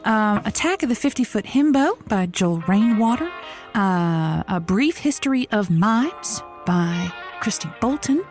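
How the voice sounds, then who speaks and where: A woman's voice: mid-range voice, lively intonation, measured pace, a little mellow, faint music behind, natural voice. A woman playing an anxious, sarcastic librarian who mutters to herself in a comic audio drama. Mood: absorbed, chatty. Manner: talks to herself.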